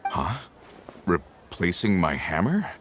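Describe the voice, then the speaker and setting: A man's voice: sits low, sing-song, takes it slowly, slight background noise, full character voice. An actor voicing an ordinary, world-weary working family man in a video game, plain-spoken, hesitant and earnest. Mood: pondering. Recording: music behind the voice.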